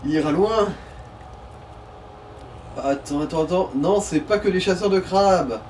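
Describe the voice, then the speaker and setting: A man's voice: high-pitched, unhurried, darkish tone. A man doing casual, wry and jokey co-commentary on a video game. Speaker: let's play co-commentator. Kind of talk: casual joking gaming commentary. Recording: distant mic with faint noise.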